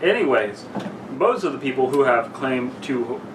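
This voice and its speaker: A young man talks loosely and a little drunkenly, tripping over words as he reads and banters. He sits away from the mic in an echoey room with clear background noise. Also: mid-range voice, lively intonation, recorded from a distance. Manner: halting, stumbles over reading. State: tipsy. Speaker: podcast co-host reading a story aloud. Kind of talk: casual banter and story reading among friends.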